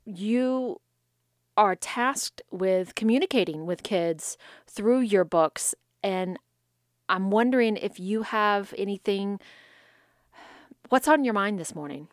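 A woman hosts a morning radio interview, her voice close and dry on a studio mic. She speaks thoughtfully and pauses to search for words. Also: mid-range voice, no room sound. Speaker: radio host.

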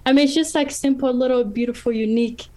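A woman's voice: sits high, steady tone, quick pace, warm tone. Young woman from Tanzania sharing her story. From northern Tanzania. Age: about 20. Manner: earnest, open, with fillers and restarts. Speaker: interviewee, university student. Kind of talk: casual personal account.

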